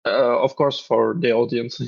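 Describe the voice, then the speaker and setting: A man's voice: mid-range voice, flat delivery, unhurried, vocal fry, dry recording, muffled recording. A man in his twenties from Belarus, a chess grandmaster and coach, answering interview questions with many fillers. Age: 20s. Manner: hesitant, many fillers, laughs lightly.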